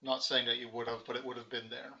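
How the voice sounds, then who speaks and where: An American man co-hosting a card game stream, talking in a loose, rambling way full of "like" and "um". His tone is very bright and forward. The stream audio sounds a bit dull and lo-fi. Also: mid-range voice, fast, gravelly. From United States. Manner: relaxed, rambling, many fillers. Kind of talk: casual post-game chat.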